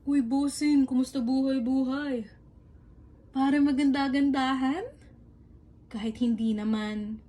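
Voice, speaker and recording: A young woman with a Filipino accent gives playful scripted narration for a comedic radio drama, chatty, teasing and expressive. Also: high-pitched, a little slow, pauses often, noisy background.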